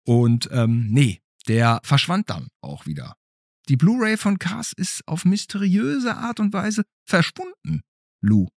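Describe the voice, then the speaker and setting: A man's voice: on the high side, wildly swooping pitch, slow pace, dry recording, studio quality. A man hosting a casual conversational podcast, talking in a colloquial, musing way with plenty of questions. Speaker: podcast host.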